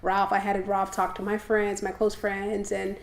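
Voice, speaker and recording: A young woman from Haiti telling stories in a casual co-hosted chat. Her voice sits in a middle pitch, and she laughs easily while reining in her husband's exaggerations. The room adds a clear echo. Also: completely flat delivery, a little slow. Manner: laughs often, gently corrects her husband. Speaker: podcast co-host. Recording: echoey room.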